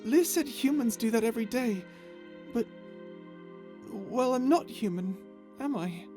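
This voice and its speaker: A woman plays a gentle, childlike creature in a space audio drama. The voice sits fairly high and is timid and earnest, often stammering and hesitating. Clear music runs underneath. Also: animated, unhurried. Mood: wistful, unsure. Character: timid, childlike, gentle non-human crew member. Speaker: voice actor in audio roleplay. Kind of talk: ASMR audio drama roleplay.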